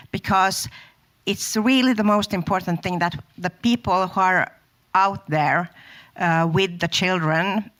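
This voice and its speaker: A woman speaking slowly and earnestly as a panelist in a seminar discussion. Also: fairly low pitch, fairly bright tone.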